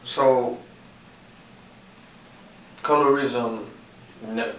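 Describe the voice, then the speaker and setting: A man's voice: mid-range voice, fairly even intonation, very slow, fairly bright tone, distant mic, a little hiss or hum. Man interviewing in an echoey room. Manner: polite, plainly worded questions. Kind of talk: casual student interview.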